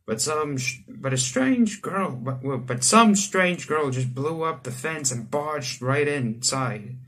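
A man in his late teens hosting a let's play, reading visual novel text aloud and breaking into animated asides. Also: on the high side. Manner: animated asides, exclaiming between read passages. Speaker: gaming channel host. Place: small room indoors at home.

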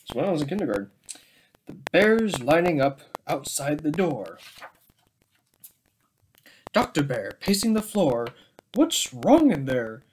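A young man reads a children's picture book aloud. His pitch swings widely and he takes his time with each phrase. The recording, made in a small room, has background noise. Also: very animated, measured pace, long pauses. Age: young adult. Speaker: storybook reader. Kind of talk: children's story read-aloud. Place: small indoor room with bookshelves. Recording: clearly noisy home webcam recording.

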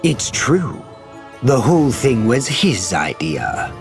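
An actor playing a male scientist in a video game who wants to atone, speaking in measured, formal phrases. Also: medium pitch, big pitch swings, soft background music. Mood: persuasive.

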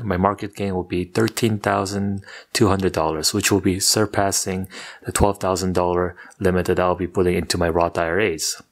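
Deep, monotone young man. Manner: monotone, steady delivery. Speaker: personal finance YouTuber. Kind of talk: scripted video narration. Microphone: studio microphone off camera.

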